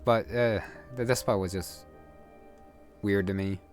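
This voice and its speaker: A man's voice: lowish voice, fairly even intonation, measured pace, faint music behind. A man giving casual, off-the-cuff commentary on a video game in a hesitant, rambling way.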